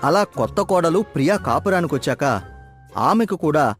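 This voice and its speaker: A man's voice: fairly high pitch, expressive, moderate pace. A man narrating a scripted moral story as storyteller, with music playing behind him.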